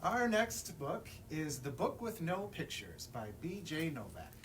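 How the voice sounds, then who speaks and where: A man's voice: a little high, huge pitch swings, a little slow, fairly bright tone. A man reading a children's picture book aloud as a librarian at story time, expressively, putting questions to young listeners. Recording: distant mic, room noise.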